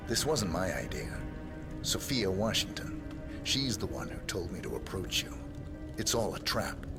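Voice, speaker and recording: A man playing a guarded, smooth-talking corporate tech designer in a video game, understated, clipped and wary. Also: low voice, very animated, a little slow, over loud music, heavily acted. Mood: wary, confessing. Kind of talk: video game cutscene acting.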